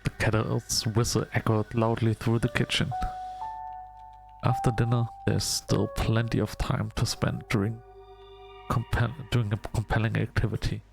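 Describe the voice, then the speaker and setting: A man with a creaky, fry-laden voice at a middling pitch reads a visual novel aloud. He narrates in scripted second person, drops in casual asides, and sometimes stumbles and restarts a phrase. Music plays faintly behind him. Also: flat delivery, moderate pace. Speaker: gamer reading a visual novel aloud for a video.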